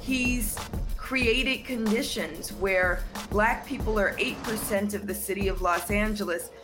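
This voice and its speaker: A woman's voice: mid-range voice, a little slow, music in the background. A woman giving a pointed, argumentative interview soundbite.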